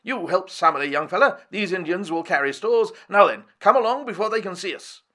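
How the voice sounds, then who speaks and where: A man voicing a brisk, hearty aristocratic big-game hunter who talks in bluff, clipped slang. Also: sits high, lively intonation, sharp tone, voice pushed up, exaggerated voice. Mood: urgent.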